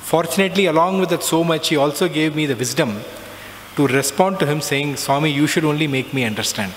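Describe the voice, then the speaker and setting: A middle-aged man tells a reflective personal story, often pausing with "uh" and "so". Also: on the high side. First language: not Hindi. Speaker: alumnus giving a talk. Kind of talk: personal spiritual talk. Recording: long reverb, faint background noise.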